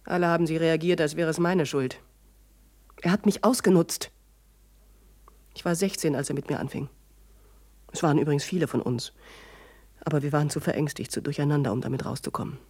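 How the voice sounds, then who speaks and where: Bitter wounded woman in a radio play, husky voice, accusing, very dry close sound. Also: low voice, steady tone, a little fast, long pauses, a little mellow, no room sound. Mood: bitter hurt. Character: bitter, wounded, vengeful woman, once abused as a schoolgirl. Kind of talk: radio drama acting in German.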